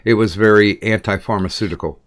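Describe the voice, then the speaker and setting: A middle-aged man hosting a casual interview, speaking haltingly with many fillers and restarts. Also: on the low side, fairly even intonation. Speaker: podcast interviewer.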